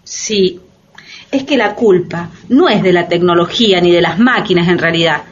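A woman's voice: fairly low pitch, normal speed, slight background noise. A woman arguing earnestly in a scripted radio discussion. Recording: dull radio recording.

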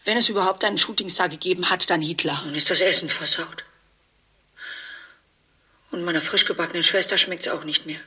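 An actress playing an agitated, garrulous wife in a satirical radio play, rambling indignantly and breaking off mid-sentence. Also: female voice, lowish voice, fairly quick, long pauses, pushed and bright, breathy edge, full character voice. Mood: outraged, then sardonic, resigned.

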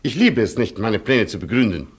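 An actor in a German radio play playing a haughty, stubborn cavalry colonel with a husky voice and a pompous, clipped, condescending delivery. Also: male voice, fairly low pitch, very animated, fairly quick. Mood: imperious.

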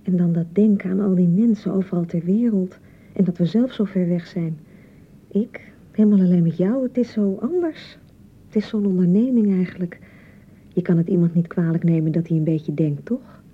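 A thin, band-limited radio play recording carries a young newlywed wife with a husky voice. She is insecure and easily offended, circling back on her reproaches with biting sarcasm. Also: fairly low pitch, moderate pace, phone-quality sound. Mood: conciliatory. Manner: nagging, sarcastic, repeating herself reproachfully. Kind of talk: naturalistic radio drama acting.

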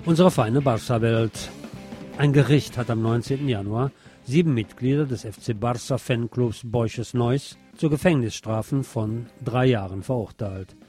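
A man's voice: mid-range voice, measured pace, warm tone, faint music behind. A man presenting scripted magazine news on the radio, with a wry, ironic touch in his delivery.

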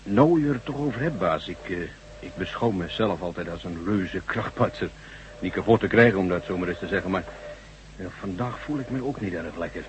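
A man's voice: low-pitched, animated, fairly quick. A man acting a burly, folksy construction worker, uneasy and deferential, in a science fiction radio drama. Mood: uneasy, pained. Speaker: actor in a radio play. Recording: dull, noisy old radio recording.

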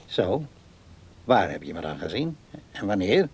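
An actor in a radio play as a gently teasing man humouring a young child in a playful, coaxing way. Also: deep voice, sing-song, unhurried, creaky, breathy edge, heavily acted. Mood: evasive, calm.

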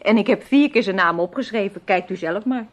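A woman's voice: mid-range voice, a little fast, lo-fi sound, close to an everyday voice. A woman playing a young housemaid in a Dutch radio play, deferential and matter-of-fact. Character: young, dutiful, plain-spoken female housemaid.

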